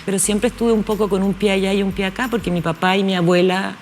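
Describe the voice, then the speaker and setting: A woman in her fifties from Chile, a guest in a studio interview, speaking thoughtfully with many pauses and restarts. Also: medium pitch, steady tone, a little hiss or hum. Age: 50s. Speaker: guest, actress. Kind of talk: relaxed interview conversation.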